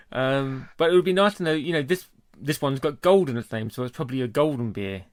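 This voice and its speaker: A man co-hosting a casual podcast, chatting in a relaxed, talkative way and laughing easily. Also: a little high, normal speed, no echo, studio quality. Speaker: podcast co-host. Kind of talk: casual chat.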